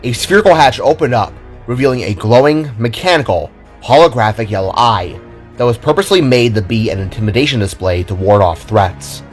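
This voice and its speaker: A man's voice: medium pitch, expressive, a little fast, faint music behind. A man narrating a scripted audio drama in a dramatic storytelling manner.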